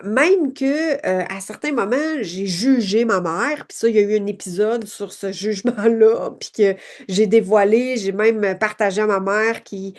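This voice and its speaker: A woman's voice: medium pitch, a little slow, darkish tone. Earnest woman in her late forties. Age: late 40s. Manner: earnest, searching, heavy on fillers and rhetorical questions. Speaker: podcast host. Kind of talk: reflective personal monologue.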